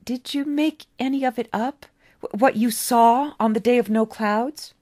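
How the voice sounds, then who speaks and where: A woman as an earnest, higher-voiced, stammering youngster. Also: a little mellow, natural voice. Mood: hesitant, earnest. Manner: halting, stammering, eager. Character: young David, earnest, hesitant, awed questioner of the old man.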